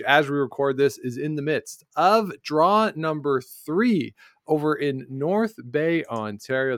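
A man's voice: medium pitch, no echo, studio quality. A man with a Canadian accent co-hosting a casual sports talk show and recapping results. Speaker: podcast co-host.

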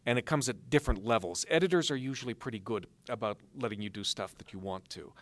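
A man answering interview questions as a guest author, explaining things in a measured way with dry humor. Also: lowish voice, a bit bright, dry recording. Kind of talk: live interview answers before an audience.

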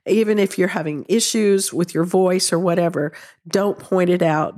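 A woman hosting her podcast, speaking in an earnest, conversational way while congested from a head cold, her throat a little raw and hoarse. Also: lowish voice, a little mellow. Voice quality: slightly hoarse. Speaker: podcast host. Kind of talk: solo podcast talk with ad read.

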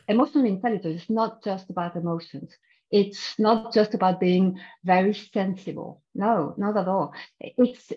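French-accented woman coach, muffled audio. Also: medium pitch. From France. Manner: thoughtful, explanatory, with frequent restarts. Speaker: executive coach, guest. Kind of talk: podcast interview.

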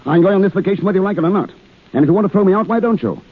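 An actor playing an assertive but polite, wry young engineer in a 1930s radio play. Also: male voice, high voice, expressive, racing, breathy edge. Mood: indignant, determined. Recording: old 1930s radio broadcast, narrow muffled band.

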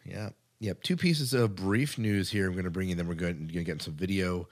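A man's voice: low-pitched, brisk. A man co-hosting a podcast, giving entertainment news in a casual, conversational way with informal asides. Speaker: podcast co-host.

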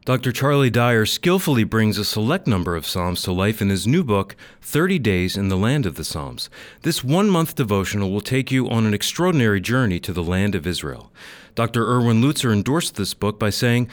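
Male announcer, lowish voice, lively inflection, scripted promo read, radio style. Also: brisk.

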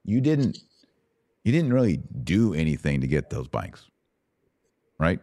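A man's voice: sits low, big pitch swings, measured pace, dark tone, no room sound. A middle-aged American man hosting a conversational religious question session, explaining step by step and checking understanding with short questions. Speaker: host answering Bible questions.

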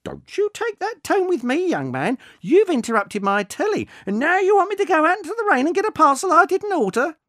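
A narrator voicing a cantankerous, swearing woman of seventy-four, high and indignant. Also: huge pitch swings, moderate pace.

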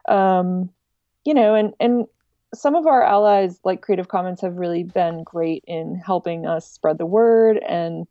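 A woman's voice: fairly low pitch, measured pace, no room sound, phone-quality sound. A woman speaking casually and informally as an interview guest, with a wry manner. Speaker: interview guest, director of an online music archive.

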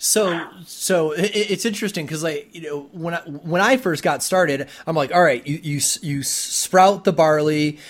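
Man, podcast host, fairly high voice, conversational, long-winded questions, stammered restarts. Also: normal speed, hardly any pauses. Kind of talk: conversational interview.

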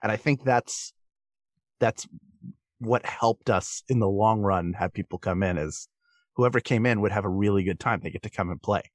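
A man's voice: lowish voice, normal speed, no room sound. A man talking casually as a guest performer in a podcast interview.

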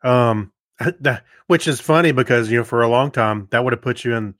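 A middle-aged man co-hosting a podcast, chatting casually in a halting, rambling way. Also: mid-range voice, fairly bright tone, dry recording, clean studio sound. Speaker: podcast co-host. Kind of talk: casual chat.

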